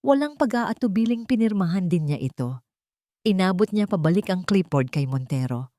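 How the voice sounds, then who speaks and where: A woman with a Filipino accent narrating a scripted romantic drama in a solemn, emotive, deliberate way. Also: fairly low pitch, animated, mellow, a little hiss or hum. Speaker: storyteller.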